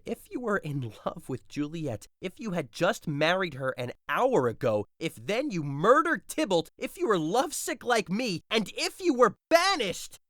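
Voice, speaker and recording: A bitter, lovesick young man played by a male actor pours out despair in a modern Shakespeare audio play. The delivery is melodramatic, swinging widely in pitch, with a dry close sound. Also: a bit bright, no echo. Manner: melodramatic, anguished, declamatory.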